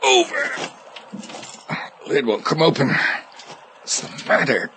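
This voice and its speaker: An actor playing a tormented, guilt-ridden judge with halting, desperate delivery in a radio drama. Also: male voice, fairly high pitch, wildly swooping pitch, bright, forceful tone, full character voice. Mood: frantic muttering. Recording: noisy radio drama recording.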